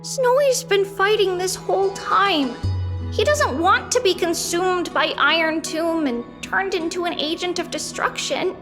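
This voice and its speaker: Very high, bubbly little girl. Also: measured pace, music in the background. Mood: worried. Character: small cheerful excitable girl companion, earnest and plucky. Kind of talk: animated game voice acting.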